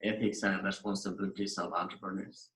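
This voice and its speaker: A man's voice: low-pitched, flat delivery, a little fast, fairly bright tone, echoey room. A man from Nepal giving a recorded university lecture over slides, earnest and emphatic, often restarting and repeating phrases.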